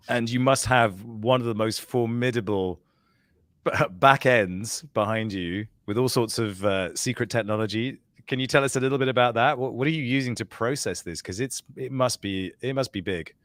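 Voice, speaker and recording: A man's voice: mid-range voice, normal speed, a bit bright, no echo, clean studio sound. A man interviewing a guest, asking skeptical, probing questions.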